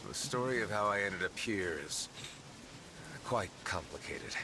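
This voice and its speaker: A man's voice: sits low, animated, measured pace, noisy background. An actor playing a reserved small-town man in a cozy video game. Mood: measured, guarded.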